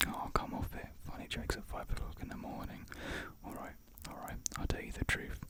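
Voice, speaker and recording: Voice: brisk, close to an everyday voice. A whispering reader voicing a brash, nosy milkman in a stage thriller. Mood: joking, knowing. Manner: whispered throughout, breezy slang. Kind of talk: ASMR whispered script reading.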